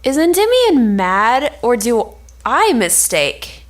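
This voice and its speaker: A woman's voice: a little high, very animated, takes it slowly. A woman playing a sensible, reproving male friend in a stage play, reading formal archaic lines. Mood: incredulous, chiding. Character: sensible, scolding male friend of a lovesick nobleman.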